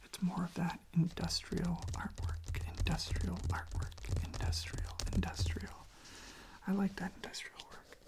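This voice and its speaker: A soft-spoken ASMR host rambling casually in a gentle, hesitant, self-deprecating way, with a breathy, husky, whispery voice close to the microphone. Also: medium pitch, little pitch movement, unhurried. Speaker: host of a relaxation channel showing records.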